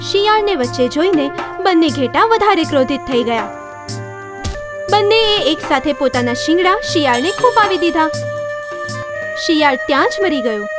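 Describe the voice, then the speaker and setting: A woman reading a scripted children's story as narrator, in an expressive storytelling manner. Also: high-pitched.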